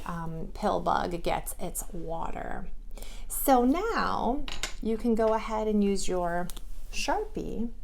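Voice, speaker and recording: A woman teaching a drawing lesson to preschool children, guiding them gently and patiently, step by step. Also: mid-range voice, creaky.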